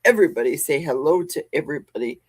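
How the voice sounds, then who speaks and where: A woman with a husky, slightly low voice hosts a relaxed livestream from her basement, chatting and joking with her viewers. She talks at an unhurried pace into a close desk mic, and the sound is dry and close. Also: no room sound. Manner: chatty, jokey, reads viewer comments aloud and answers them. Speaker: livestream host. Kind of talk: casual livestream chat. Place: home basement. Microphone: desk mic with a cough button.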